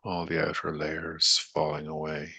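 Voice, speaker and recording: Very deep, gravelly man guiding meditation. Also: monotone, dry recording. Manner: soothing, unhurried, gently coaxing with long pauses. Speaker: meditation guide. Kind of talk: guided meditation.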